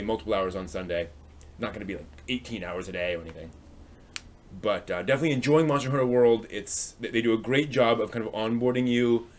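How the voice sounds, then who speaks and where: A man hosting a casual livestream, rambling and thinking aloud as he chats with viewers in a halting way. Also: on the low side. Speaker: live stream host. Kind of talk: casual livestream chat, answering viewers' comments.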